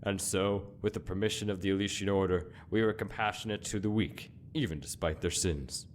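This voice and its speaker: A deep, grave inquisitor acted by a man preaches with zealous self-righteousness. The delivery is solemn and rhetorical, like a fantasy game villain's monologue, over faint background noise. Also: fairly even intonation, close to an everyday voice. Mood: solemn, accusing. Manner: solemn, deliberate, rhetorical speechmaking.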